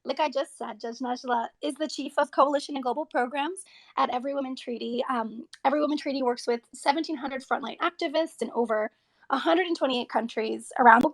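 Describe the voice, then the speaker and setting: A woman hosts an interview podcast and talks eagerly, with frequent ums and restarts. Her voice sits fairly high, with a gravelly, creaky edge at the ends of her phrases. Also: brisk. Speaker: host.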